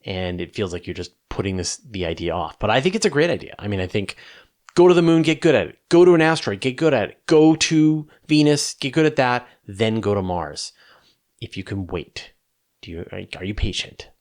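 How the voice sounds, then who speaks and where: A man's voice: lowish voice, lively intonation, fairly quick, darkish tone. Emphatic man explaining in a conversational way. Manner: emphatic, conversational, with rhetorical questions and repetition. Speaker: host of a space question-and-answer show. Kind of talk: unscripted explainer answering viewer questions.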